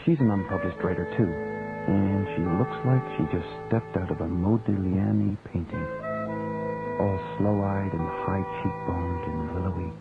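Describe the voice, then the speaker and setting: A thin, phone-like radio play recording with music behind a low-voiced man as a married writer. He is restless and self-doubting, confiding in a hesitant, conversational way with some gravel. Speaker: lead actor and narrator. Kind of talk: radio drama acting with confessional narration.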